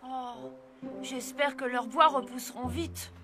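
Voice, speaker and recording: A woman's voice: high voice, steady tone, slow pace. An actress playing an eager, confident young boy apprentice in a cartoon, earnest and curious. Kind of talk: animated cartoon acting in French.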